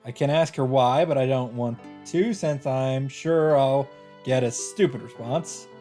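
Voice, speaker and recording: A man's voice: mid-range voice, measured pace, mellow. A male let's play host with a mid-range voice reads visual novel narration aloud. His delivery is casual, with offhand asides, and game music plays behind him.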